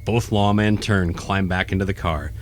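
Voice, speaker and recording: A man's voice: low-pitched, steady tone, normal speed, slight background noise. A man reading scripted narration for a horror radio play. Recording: faint music behind the voice.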